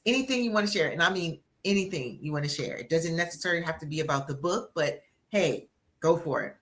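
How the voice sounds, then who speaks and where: A woman in her sixties hosting a live-stream interview, energetic and encouraging with a motivational push. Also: sits low, fast talker. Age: 60s. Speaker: interviewer and book coach.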